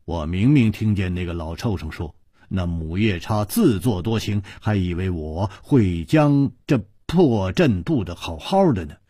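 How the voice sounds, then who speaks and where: A man voicing a brash, teasing drinker in a storybook, muttering and stammering with drawn-out interjections. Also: sits low, expressive, dark tone, husky, no echo, clean studio sound, full character voice. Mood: taunting. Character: brash, teasing male drinker, a blustering rascal.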